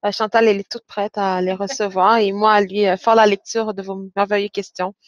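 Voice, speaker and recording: A woman's voice: medium pitch, little pitch movement, fairly quick. A Canadian French woman moderates a webinar, keeping her remarks short and encouraging. Her voice comes through a dull, muffled call line. The sound is dry and close, with no room echo. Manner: friendly, brief, encouraging.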